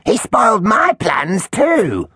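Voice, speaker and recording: A man voicing a mean little evil dinosaur inventor, hissing and raspy, sneering with drawn-out menace in a children's storybook. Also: sits high, wildly swooping pitch, pushed and bright, dry recording, studio quality, pitched above the performer's own voice, heavily acted. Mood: bitter gloating.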